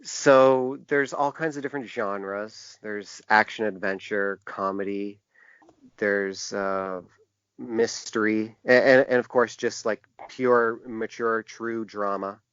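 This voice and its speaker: A man with a fairly low voice talks as an interview guest. He speaks conversationally and haltingly, circling back to restart phrases. The sound is dry and somewhat dull, with a limited lo-fi band. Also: steady tone, fairly bright tone, clear, resonant tone, no echo. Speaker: podcast guest, book designer.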